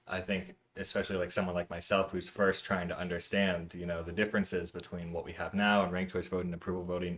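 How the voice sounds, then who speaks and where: A man's voice: low-pitched, fairly bright tone. A man hosting an interview, setting up questions in an earnest, explanatory way. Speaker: channel host, interviewer.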